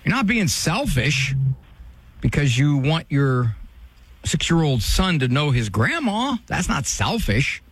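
A man's voice: on the high side, sing-song, takes it slowly, breathy edge, no room sound. A man hosting an advice call-in show, talking directly and firmly and pressing the caller with pointed questions. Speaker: radio advice host.

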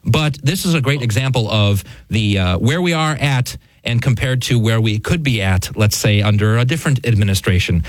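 A man's voice: mid-range voice, animated, normal speed, no room sound, poor audio. A man co-hosting a news show, chatting casually about headlines in rambling starts and restarts. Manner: talks in rambling restarts, chuckling.

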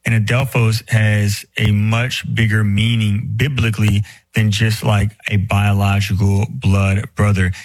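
A man's voice: lowish voice, no room sound, low-quality recording. A man who is a priest, explaining a Bible question in a casual, chatty way with many fillers. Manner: casual, filler-heavy, speeds up and slows down.

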